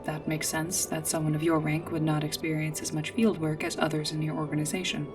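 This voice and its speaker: A woman playing a polite, earnest android guide in a sci-fi ASMR roleplay, speaking in formal, precise, literal phrases with hesitant pauses. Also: low-pitched, level tone, recorded from a distance, natural voice. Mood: polite, measured. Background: music.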